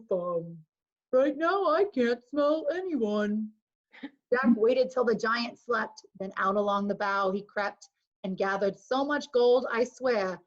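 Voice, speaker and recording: A woman reading a rhyming bedtime story expressively, as a storyteller and co-host. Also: fairly high pitch. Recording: dull lo-fi call audio.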